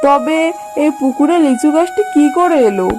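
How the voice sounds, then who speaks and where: A woman voicing a humble, kindly mother fox in a children's cartoon, speaking gently and earnestly. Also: high-pitched, expressive, normal speed, over music. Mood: grateful, wondering.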